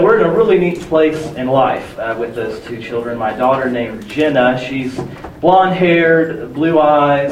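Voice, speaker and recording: A hoarse young man preaching a sermon in a conversational, self-deprecating way, with many restarts. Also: mid-range voice, slight background noise. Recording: echoey room from far off with faint ambience.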